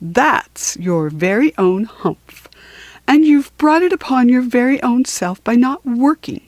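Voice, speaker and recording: A bossy desert djinn from a children's storybook, acted out by a woman. The pitch leaps about in an animated way, while the words come slowly and emphatically, as if laying down the law. The sound is dry. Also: no room sound. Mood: scolding. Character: magical desert djinn, brisk bossy scolding master. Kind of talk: children's storybook character reading.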